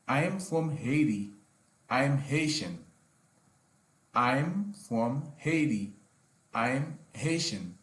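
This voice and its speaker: A man teaching a language in a listen-and-repeat style, saying short phrases deliberately and repeating them with pauses. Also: mid-range voice. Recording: roomy, echoing voice recording.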